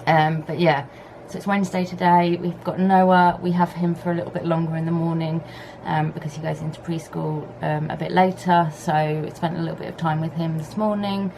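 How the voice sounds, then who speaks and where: A woman chats to her vlog camera at home, her low voice worn husky and hoarse with a gravelly edge. She rambles in an easygoing, unhurried way. A faint hum from a fan sits under her voice. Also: level tone. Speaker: vlogger. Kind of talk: casual vlog chat to camera. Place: home on a hot day, fan running. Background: fan and pressure washer outside.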